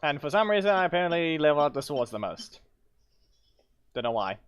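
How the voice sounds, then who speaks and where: A man's voice: a little high, big pitch swings, fairly quick. A man giving casual gameplay commentary as a streamer, in a rambling, self-correcting way with muttered asides. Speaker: game streamer. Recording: clearly noisy stream audio with clicks.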